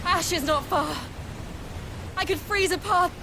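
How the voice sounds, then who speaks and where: An actress playing a determined, loyal young woman warrior in a fantasy video game. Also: very high-pitched. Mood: urgent resolve. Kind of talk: dramatic video game cutscene acting. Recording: loud rushing noise over the voice.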